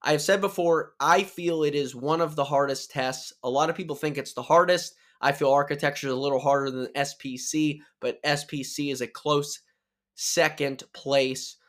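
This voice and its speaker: A man's voice: on the high side, steady tone, normal speed, a bit bright. A man hosting a solo podcast, explaining casually with restarts while nursing a sore throat from a cold. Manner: upbeat, chatty, with restarts and self-corrections. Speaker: podcast host.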